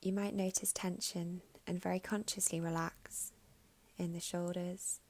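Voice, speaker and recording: A woman with a fairly low voice and a noticeable creak leads a guided meditation. She speaks softly and soothingly, taking her time with long pauses. Her phrases turn breathy and trail toward a whisper. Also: level tone.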